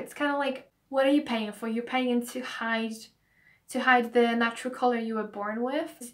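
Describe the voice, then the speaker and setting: A young woman talks straight to her camera at home, chatty and earnest as she lays out her reasons. Her voice sits a little high, but hay fever has left it croaky. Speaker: beauty vlogger. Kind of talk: casual talking-to-camera vlog.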